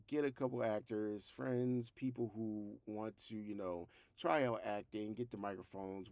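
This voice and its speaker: Low-voiced man in a casual vlog. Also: no room sound. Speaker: host, fiction podcast creator. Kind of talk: casual vlog talk to camera.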